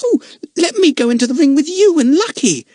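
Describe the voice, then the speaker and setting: A man's voice: very high-pitched, big pitch swings, fairly quick, mellow, no echo, studio quality, voice pushed up. A man voicing a little circus girl, stammering through happy tears in a storybook reading. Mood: eager. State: crying.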